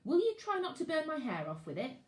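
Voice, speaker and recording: A woman's voice: sits high, huge pitch swings, brisk, pitched above the performer's own voice. A woman voicing a cautious, wry boy. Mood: earnest. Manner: earnest, questioning. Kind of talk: reading a novel aloud. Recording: roomy echo.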